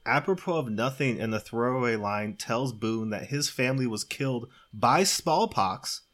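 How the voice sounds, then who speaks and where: A man's voice: mid-range voice, animated, normal speed, fairly bright tone. A man recapping a film casually and comedically as a podcast co-host, telling it with wry disbelief and frequent laughter. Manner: wry, incredulous storytelling, often laughing.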